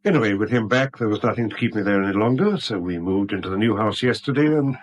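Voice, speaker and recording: An actor playing a brisk, self-assured bank manager in a radio drama, measured, formal and firm, on a dull vintage recording. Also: male voice, fairly low pitch, close to an everyday voice. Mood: calm, firm. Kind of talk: 1970s radio drama acting.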